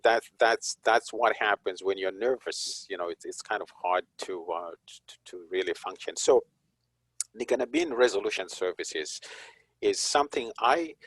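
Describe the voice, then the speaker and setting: A man from Zimbabwe, a police chaplain and guest, talking in an unhurried, reflective way with frequent chuckles. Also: low voice, fairly bright tone, dry recording, low-quality recording. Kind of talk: conversational interview.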